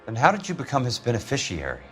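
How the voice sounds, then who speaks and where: An actor playing a tough, skeptical American insurance investigator in a noir video game, brusque, dry and sardonic. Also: male voice, mid-range voice, huge pitch swings, quick pace, soft background music. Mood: skeptical, pressing. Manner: blunt, dry, probing.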